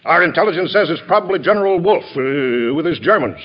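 Exasperated army general, American accent, highish pitch, emphatic, old radio drama, muffled vintage sound. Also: male voice, animated, quick pace. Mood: urgent, blustering. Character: blustery, hard-pressed army general, exasperated and plainspoken. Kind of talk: classic radio drama acting. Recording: dull vintage radio recording.

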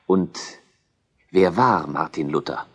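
A man's voice: deep voice, takes it slowly, slightly rough, muffled recording. A man narrating a scripted historical documentary as a storyteller.

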